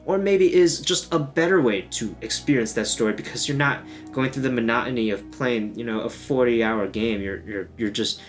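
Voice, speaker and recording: A man's voice: mid-range voice, normal speed, hardly any pauses, soft background music. A man hosting a gaming video, talking in an informal, self-deprecating, joking way like a casual video essay. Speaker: host of a gaming video channel.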